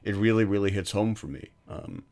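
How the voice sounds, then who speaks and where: Male podcast co-host, low pitch, casual film talk, halting and fragmentary delivery. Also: steady tone, darkish tone. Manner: halting, piecing thoughts together in fragments. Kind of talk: casual film discussion, recounting scenes.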